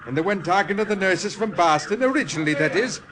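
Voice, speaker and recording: An actor playing a genial, teasing Irish army priest with a brogue and rambling blarney, in old-time radio drama style. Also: male voice, high voice, expressive, quick pace, crowd noise. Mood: playful, rambling. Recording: noisy vintage radio recording.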